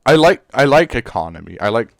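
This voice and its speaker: A man's voice: mid-range voice, big pitch swings, a little fast, gravelly, dry recording, studio quality. A Serbian teenage boy, a native Serbian speaker, co-hosting a podcast in casual chat, rambling with many fillers and restarts. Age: teens. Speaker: podcast co-host.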